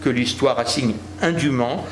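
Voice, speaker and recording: A man giving a formal academic lecture with measured phrasing. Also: medium pitch. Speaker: historical speaker concluding a talk. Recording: echoey hall with background noise.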